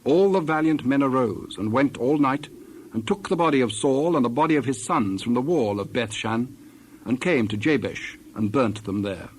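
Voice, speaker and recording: A man's voice: mid-range voice, normal speed, slight background noise. A man reading scripted Bible narration on an old cassette recording.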